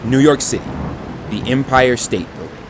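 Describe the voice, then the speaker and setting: A man's voice: mid-range voice, moderate pace, heavy background noise. A man giving dramatic scripted narration, grave and portentous in delivery. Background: dramatic music.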